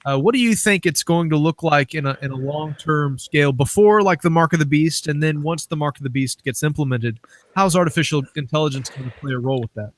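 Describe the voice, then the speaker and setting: Male interviewer, slightly high pitch, very dry sound, informal and rambling conversational style. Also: fairly quick, no echo. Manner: informal, rambling lead-ins to questions. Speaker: interviewer, host.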